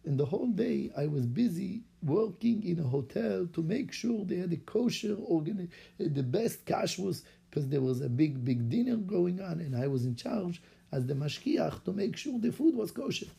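A man's voice: sits high, big pitch swings, normal speed, creaky, dark tone, slightly rough, full character voice. A man putting on an eager Israeli rabbi. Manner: eager, chatty storytelling in broken English. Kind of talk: acted-out story for children.

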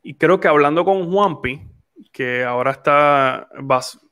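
A man's voice: on the high side, measured pace, sharp tone. Chatty Puerto Rican man in his twenties. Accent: Puerto Rican Spanish. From Puerto Rico. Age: 20s. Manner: rambling, frequent restarts and fillers. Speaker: podcast host. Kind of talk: casual chat.

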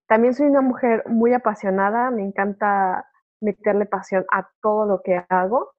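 A woman's voice: medium pitch, fairly even intonation, moderate pace. A young woman with a Mexican accent chatting informally as a podcast guest, often correcting herself as she tells her story. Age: young adult. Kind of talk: casual chat, personal story. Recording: narrow phone-like remote call audio.

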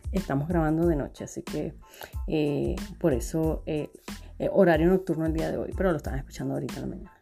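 A woman from Venezuela with a Venezuelan accent, hosting a podcast interview and filling pauses with many "eh" and "este" sounds. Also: low-pitched, gravelly, music in the background. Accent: Venezuelan Spanish. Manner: hesitant, many fillers. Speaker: podcast host. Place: home setup, recording at night.

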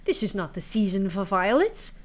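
A woman's voice: medium pitch, very animated, moderate pace, lightly acted. A woman voicing a grave male spirit of winter in a storybook, speaking in stately, archaic phrases. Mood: solemn.

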